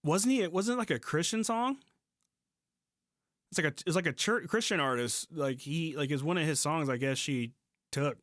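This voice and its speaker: A man's voice: on the high side, moderate pace, a bit bright, dry recording, studio quality. A man in a casual podcast conversation, thinking aloud informally as co-host.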